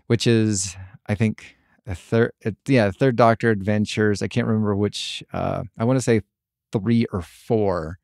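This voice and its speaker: Guy with a lowish voice doing a podcast, casual n rambly, stops and starts, dry close sound. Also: a little mellow, no echo. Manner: halting, rambling. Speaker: podcast host. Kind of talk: casual solo podcast commentary.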